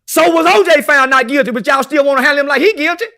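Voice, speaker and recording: A man's voice: extremely high pitch, fast talker, gravelly, pushed and bright. A man talking brashly as a podcast guest, boastful and preachy, swearing constantly.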